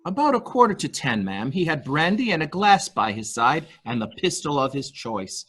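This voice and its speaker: A man's voice: fairly high pitch, very animated, moderate pace, fairly bright tone, breathy edge, heavily acted. A man playing a deferential male nurse-attendant in a murder mystery reading, speaking formally, hesitantly and obsequiously. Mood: nervously formal. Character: deferential male nurse-attendant to a rich invalid, primly servile.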